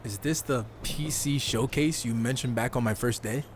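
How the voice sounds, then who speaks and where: A man's voice: mid-range voice, moderate pace, a little hiss or hum, close to an everyday voice. Man acting a cocky young rookie. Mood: curious. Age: young adult. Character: cocky, determined young male rookie wrestler. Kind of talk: acted video game cutscene dialogue.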